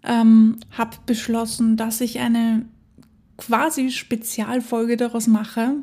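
Female podcast host, mellow tone, relaxed unhurried delivery, upbeat solo chat. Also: a little high. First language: German. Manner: chatty and enthusiastic, laughs often. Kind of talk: casual solo podcast monologue.

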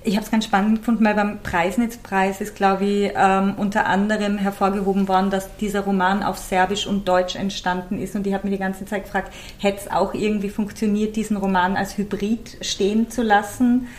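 A woman's voice: mid-range voice, level tone, normal speed, few pauses. Engaged woman podcast co-host. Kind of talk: casual chat and read summary.

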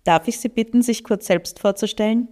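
A woman's voice: fairly high pitch, a little fast, warm tone. A woman hosting a professional podcast, with a polished presentation of the event and her guest. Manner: polished, read-aloud. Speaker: podcast host. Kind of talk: scripted introduction and interview questions.

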